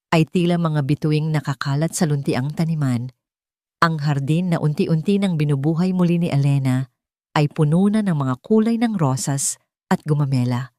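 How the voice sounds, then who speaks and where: A woman's voice: fairly low pitch, mellow, no echo, clean studio sound. A woman with a Filipino accent narrating a scripted, dramatic story.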